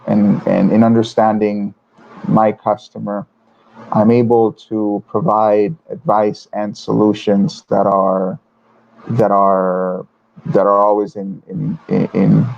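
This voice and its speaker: A man from Venezuela in his thirties, a financial advisor talking haltingly with many restarts in a live webinar interview. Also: fairly low pitch, vocal fry, darkish tone. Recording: dull lo-fi webinar audio.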